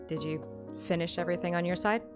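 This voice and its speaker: A woman's voice: fairly low pitch, fast, over music, natural voice. A woman voicing the teasing, plucky young heroine of a romantic fantasy game. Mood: curious. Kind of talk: acted game dialogue.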